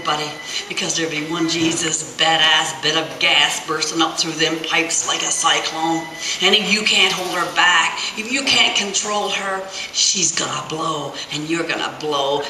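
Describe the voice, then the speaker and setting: A woman's voice: lowish voice, close to an everyday voice. A woman voicing a brash, teasing male oil-rig hand who talks in salty, folksy, emphatic dialect in a storybook reading. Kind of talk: dramatic literary reading. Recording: roomy, distant festival recording with faint noise.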